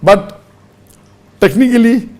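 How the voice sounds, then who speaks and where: A man's voice: very high voice, lively intonation, slow pace, a little mellow. A man answering a question in a lecture-style talk. He reels off figures and rankings methodically and punches key words with sudden upward jumps. English is not his mother tongue. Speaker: religious speaker answering a question.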